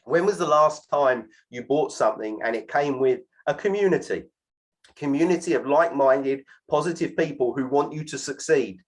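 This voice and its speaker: A man around forty giving an energetic sales presentation on a video call, tagging "right?" onto his sentences. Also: mid-range voice, normal speed. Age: 40s. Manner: keeps adding "right?" to sentences, lively and persuasive. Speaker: host of an online presentation.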